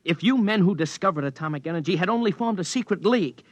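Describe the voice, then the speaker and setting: An actor playing a combative, insistent young physicist in a 1950s radio drama. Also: male voice, high-pitched, lively intonation, fast talker, no echo, highs cut off. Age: young adult. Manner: insistent, argumentative.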